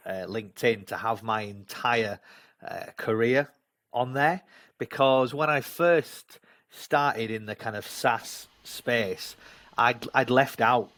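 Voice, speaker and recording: A man's voice: medium pitch, slow. Man with a broad Yorkshire accent. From England (Leeds). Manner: self-deprecating, animated storyteller. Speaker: guest. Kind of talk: casual interview chat. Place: at home.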